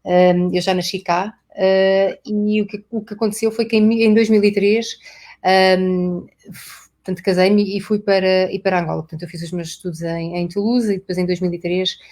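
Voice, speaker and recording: A woman's voice: medium pitch, steady tone, moderate pace, darkish tone. A woman from Portugal with a European Portuguese accent, a company CEO speaking as a guest in a live online interview, hesitant, with many fillers and repeated words. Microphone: video call.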